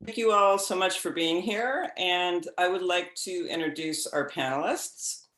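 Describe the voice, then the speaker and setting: A moderator welcoming panelists and asking questions with enthusiasm, rambling a little with many fillers. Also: male voice, sits high, unhurried, bright, forceful tone, roomy sound, rough recording. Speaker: moderator, TV critic.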